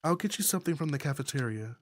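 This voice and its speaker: A woman voicing a gentle, considerate young woman in a visual novel. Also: sits low, expressive, quick pace. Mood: helpful.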